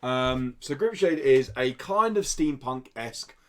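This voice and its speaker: A man's voice: mid-range voice, expressive, unhurried, fairly bright tone. Enthusiastic man chatting about games. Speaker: podcast co-host reviewing indie games. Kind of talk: casual game review chat.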